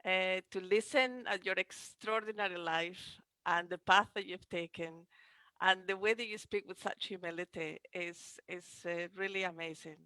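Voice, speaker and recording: A woman in her thirties co-hosting a webinar, haltingly phrasing audience questions into a headset. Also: fairly low pitch, fairly even intonation, pushed and bright, no room sound, poor audio. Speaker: co-host relaying audience questions. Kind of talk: webinar moderation, unscripted. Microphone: headset boom mic on video call.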